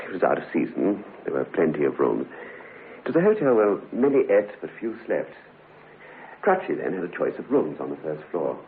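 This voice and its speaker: Deep-voiced, well-bred English storyteller. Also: male voice. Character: well-bred Englishman, grave teller of a queer tale. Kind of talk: old-time radio drama acting. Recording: dull, noisy old radio recording.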